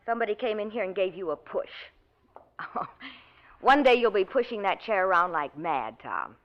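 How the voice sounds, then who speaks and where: A woman's voice: mid-range voice, animated, moderate pace, close to an everyday voice. A woman acting a cheery hospital nurse who coaxes and fusses over her patient in an old radio drama. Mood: delighted, teasing. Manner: sing-song, coaxing, talks down fondly. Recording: muffled old radio recording.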